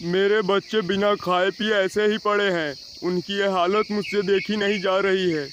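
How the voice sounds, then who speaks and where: Man playing cartoon father crow, high male pitch, emphatic, troubled, cricket noise behind. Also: fairly even intonation, normal speed, highs cut off, close to an everyday voice. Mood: distressed pleading. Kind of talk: Hindi cartoon moral story dialogue. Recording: clear noise of insects outdoors.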